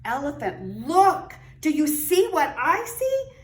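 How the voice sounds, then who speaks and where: A woman voicing a sly, scheming male trickster spider from a folktale, in an exaggerated, drawn-out, falsely friendly way. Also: high voice, huge pitch swings, voice pushed up, exaggerated voice. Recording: roomy, distant mic with faint noise.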